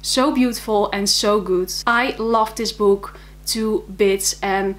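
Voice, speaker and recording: A woman's voice: mid-range voice, fairly even intonation, slow pace. A young woman from the Netherlands with a Dutch accent, chatting casually and enthusiastically about books she read, with frequent fillers. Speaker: book vlogger. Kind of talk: casual monthly reading wrap-up.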